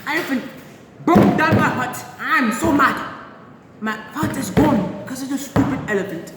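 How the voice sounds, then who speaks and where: A performer doing a bragging primitive hunter with blunt, broken speech who repeats his words and grumbles about his bad luck. The voice swings widely up and down as he tells it. The recording sits in a roomy, echoing space with steady background noise. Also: sits high, moderate pace. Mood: grumbling. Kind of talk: comic improvised storytelling.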